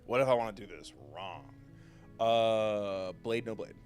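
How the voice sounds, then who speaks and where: A man's voice: fairly low pitch, a little slow, darkish tone, soft background music. A man live-streaming a game, chatting in a jokey, playful and rambling way. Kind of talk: casual live-stream commentary.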